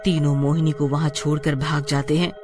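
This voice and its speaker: A woman's voice: sits low, flat delivery, fairly quick, darkish tone, soft background music. A woman narrating a scripted story dramatically.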